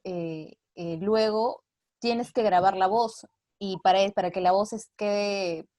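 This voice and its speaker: A woman's voice: mid-range voice, steady tone, slow pace, warm tone, no echo, clean studio sound. A woman, an actress giving an interview in casual explanatory talk, often checking in with short tag questions. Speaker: radio theatre actress being interviewed.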